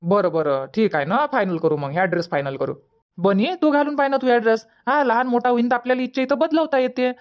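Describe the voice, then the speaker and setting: A woman voicing a brisk, doting village father in a cartoon comedy, coaxing in Vidarbha Marathi. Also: on the high side, highs cut off, lightly acted. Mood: approving.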